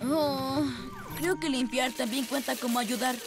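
High-pitched eager boy motorbike hero. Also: steady tone, a little slow, gravelly, very noisy. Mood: earnest, reassuring. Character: eager young boy rescue motorbike, the heroic leader. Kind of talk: children's cartoon dubbing.

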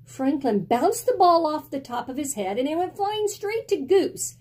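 A middle-aged woman reading a picture book aloud in an expressive, deliberate storytelling style, indoors at home. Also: sits high. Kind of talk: expressive children's read-aloud. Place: small indoor room at home with bookshelves.

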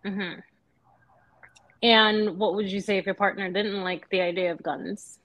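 A woman in her thirties from Nigeria, questioning a date on a video call with a brisk manner. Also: medium pitch, steady tone, a bit bright, rough recording. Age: 30s. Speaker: dating show contestant. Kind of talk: casual video call chat.

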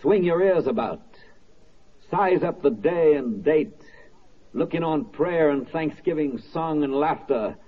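An actor playing a solemn, rousing wartime narrator, declaiming in an oratorical radio drama style. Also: male voice, on the high side, animated, unhurried. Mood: proclaiming. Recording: muffled 1940s radio recording.

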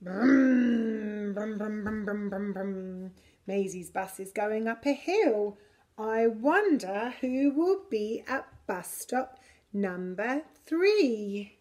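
A middle-aged woman reading a picture book to young children in an expressive, deliberate way. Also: mid-range voice. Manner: slow, deliberate, expressive delivery for little children. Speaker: preschool story reader. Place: preschool classroom, indoors. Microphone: camera a short distance away.

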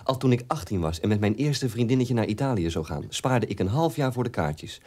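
An actor playing a wounded, unloved grown son in a radio drama, telling it plainly and deliberately. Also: male voice, medium pitch, lively intonation, a little mellow, close to an everyday voice. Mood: wronged, regretful.

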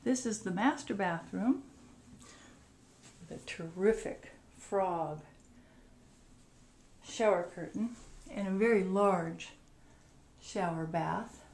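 A woman's voice: medium pitch, slow pace, creaky, pauses often, a little mellow. Lady showing folks round a rental house, takes her time, short little phrases, noisy handheld camera sound. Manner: short descriptive phrases while walking about. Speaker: vacation rental host giving a house tour. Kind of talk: casual walk-through narration. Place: indoors, moving through rooms of a house. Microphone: handheld camera's built-in mic.

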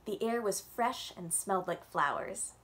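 A young woman reads a children's picture book aloud at story time, stretching out her words expressively. She sounds like a lively children's librarian. The audio comes from a webcam in a room at home. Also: medium pitch. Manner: animated and expressive, drawn-out storytelling. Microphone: webcam on a video call.

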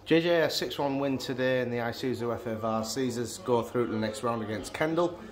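A man, a club media interviewer, putting brisk, prepared post-match questions to players and staff. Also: mid-range voice, a crowd in the background.

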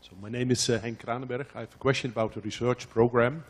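A man's voice: medium pitch, fairly even intonation, quick pace. A man asking a question from the audience in a large lecture hall, with many hesitant fillers.

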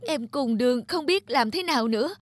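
Sobbing, distraught sister-in-law. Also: female voice, high-pitched, animated, normal speed, slight background noise. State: crying. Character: distraught sister-in-law begging family for help after ruin.

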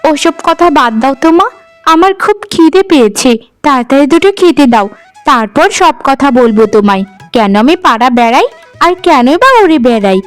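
A woman voicing a cheeky, affectionate young village girl in a cartoon, chirpy and teasing, with a high voice. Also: animated, moderate pace, faint music behind. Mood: pleading. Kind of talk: cartoon voice acting.

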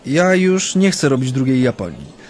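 An actor in a radio play playing a former union activist who recounts his memories in a hesitant, halting way. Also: medium pitch, very animated, slight background noise, close to an everyday voice. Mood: reflective, wry. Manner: hesitant, halting, frequent drawn-out hums. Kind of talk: radio play acting, interview-like recollection.

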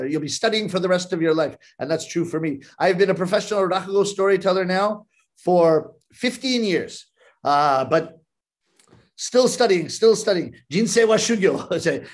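High-voiced Canadian man telling jokes. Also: lively intonation, moderate pace. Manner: theatrical, clearly enunciated, joking. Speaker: rakugo storyteller. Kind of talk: comic storytelling performance. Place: living room at home, indoors. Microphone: webcam on a video call.

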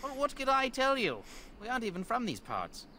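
An actor playing a smarmy, mock-polite bandit leader in a fantasy game, cowardly underneath. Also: male voice, extremely high pitch, wildly swooping pitch, a little fast, background noise, heavily acted. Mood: nervous, pleading. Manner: mock-polite, smooth-talking. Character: smarmy bandit leader running a toll, cowardly when beaten.

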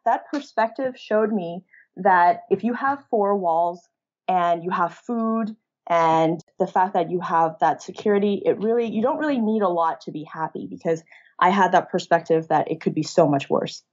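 A woman's voice: fairly low pitch, moderate pace, lo-fi sound. A woman in her thirties, raised in China, talking openly about her early life as an interview guest. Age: 30s. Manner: open, conversational storytelling. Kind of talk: casual interview talk.

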